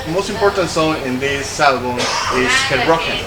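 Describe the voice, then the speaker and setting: A man, a native Spanish speaker, asking interview questions in halting English. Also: mid-range voice, animated, echoey room, heavy background noise. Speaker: radio interviewer.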